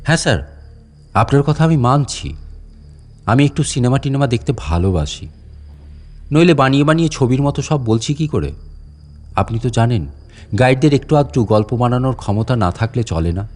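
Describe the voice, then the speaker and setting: A man's voice: medium pitch, lively intonation, normal speed, pauses often, a little mellow, faint music behind, close to an everyday voice. A man playing an aging, deferential guide at a lonely fort, telling a dramatic story in a conversational, insinuating way. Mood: confiding, eerie. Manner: conversational, insinuating, theatrical with rhetorical questions. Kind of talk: dramatic first-person audio story narration in Bengali storytelling.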